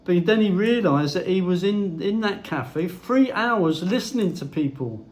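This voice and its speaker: A man's voice: sits high, animated, a little slow. Blunt, swearing older man. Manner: blunt, heavy swearing, ranting. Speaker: vlogger. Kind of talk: opinionated casual monologue.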